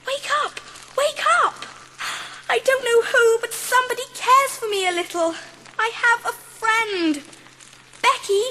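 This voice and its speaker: A dreamy, kind little girl in an audiobook drama. The voice is tiny and very high, slow with breathless wonder, over clearly audible rustling noise. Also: steady tone, pushed and bright, exaggerated voice. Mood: excited, overjoyed. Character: excited, dreamy, kind young girl in poverty.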